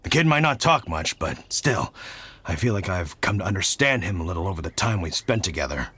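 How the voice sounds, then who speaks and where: A middle-aged man voicing an easygoing, fatherly hunter who talks in a relaxed, thoughtful way. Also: deep voice, a little fast, breathy edge, exaggerated voice. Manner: relaxed, thoughtful, frequent sighs. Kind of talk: game cutscene voice acting.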